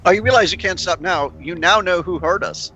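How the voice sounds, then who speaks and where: A man playing a tabletop role-playing game, chatting casually in a deliberate, halting way. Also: high-pitched, bright, forceful tone, muffled recording, faint music behind. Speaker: tabletop role-play player.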